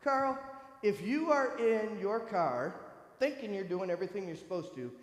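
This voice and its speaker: A man's voice: high-pitched, expressive, moderate pace. A man over fifty giving an earnest, conversational personal testimony to a church congregation, speaking directly to his listeners. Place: church sanctuary. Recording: echoey hall with long reverb.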